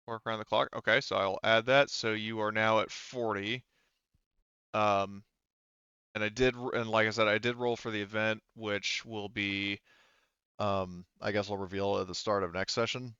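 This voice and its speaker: Man, low smooth voice, game master, casual rules explanations, dry slightly dull recording. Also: fairly even intonation, normal speed, pushed and bright, no echo. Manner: informal, explanatory.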